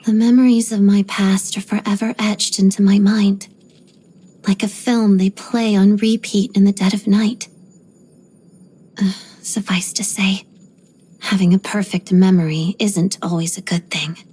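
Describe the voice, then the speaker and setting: Casting: a stoic young female ballerina for a video game; soft, husky-breathy voice, restrained and precise, introspective monologues. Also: mid-range voice, steady tone. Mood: stoic, resolute. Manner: measured, restrained, articulate. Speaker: video game character voice actor.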